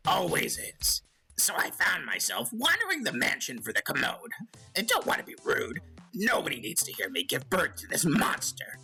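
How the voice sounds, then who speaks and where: Casting: a sly, smirking male goblin talk host, animated and gravelly, rambling through self-justifying comedy banter. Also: mid-range voice, heavily acted. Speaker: radio call-in host. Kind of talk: comedic in-character monologue and banter.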